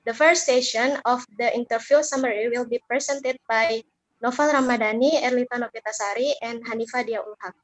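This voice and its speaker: A young woman, moderating a class presentation in careful, halting learner English. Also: on the high side, fairly even intonation. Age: young adult. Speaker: student moderator. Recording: echoey room.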